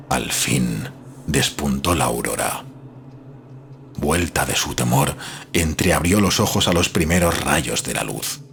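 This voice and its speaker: A man narrating a horror story in a solemn, suspenseful manner with dramatic pauses. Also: a bass voice, creaky, a bit bright, a little hiss or hum. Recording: prominent music behind the voice.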